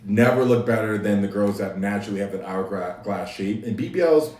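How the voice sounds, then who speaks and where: A man's voice: on the low side, a little fast, darkish tone. A man hosting a casual livestream, talking easily to his chat and laughing often. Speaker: livestream host. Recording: echoey room, mic at a distance.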